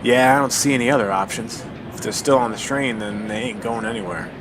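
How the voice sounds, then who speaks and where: A plain-spoken, cautious amateur sleuth in a radio-style drama, played by a man. His voice sits at a middle pitch and his manner is measured and folksy. A clear rumble like a moving vehicle runs behind it. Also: fairly even intonation, lightly acted. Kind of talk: audio drama acting.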